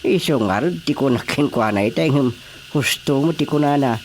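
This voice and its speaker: A man's voice: mid-range voice, animated, moderate pace, slight background noise, natural voice. An actor with an Ilocano accent playing a chatty, teasing elderly village chairman in a radio drama comedy. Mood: teasing, jovial.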